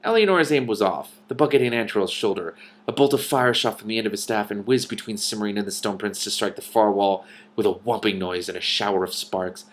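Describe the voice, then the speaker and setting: A man with a slightly low voice reads a storybook aloud. His delivery is expressive narration, mixed with casual, off-the-cuff commentary. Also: a little fast. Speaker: online book reader and commentator.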